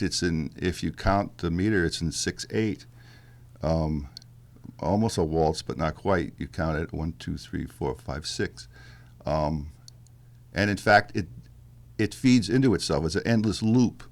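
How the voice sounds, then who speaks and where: Deep bass-baritone man, halting. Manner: halting, thoughtful, with fillers and restarts. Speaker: musician guest. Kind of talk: casual interview chat.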